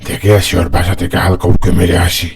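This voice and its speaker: A man voicing a wicked, greedy, menacing male fox in a cartoon fable, with a gravelly, husky voice and a threatening, gloating manner. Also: low voice, completely flat delivery, a little fast, natural voice. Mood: scheming. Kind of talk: cartoon fable character acting.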